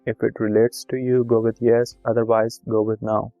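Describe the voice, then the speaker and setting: A man whose first language is Urdu, calmly walking viewers through an online form step by step and reading each field aloud. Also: medium pitch, moderate pace, dry recording, soft background music. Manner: plain instructive, reads form fields aloud, repetitive. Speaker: video tutorial host. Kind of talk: screen-recorded step-by-step walkthrough.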